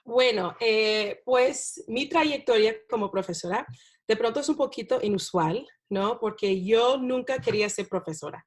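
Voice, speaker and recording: A woman, a non-native Spanish speaker, talking as a guest about teaching in a relaxed interview, with many fillers and tag questions. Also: a little high, a little slow. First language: not Spanish. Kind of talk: casual interview talk.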